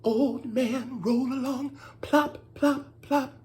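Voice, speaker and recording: Woman as a cheerful high-voiced singing mouse. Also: fairly even intonation, slow, a little mellow, faint music behind. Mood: playful. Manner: chanting, cheerful. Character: happy singing mice, grateful hosts in children's folktale. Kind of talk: singsong children's storybook acting.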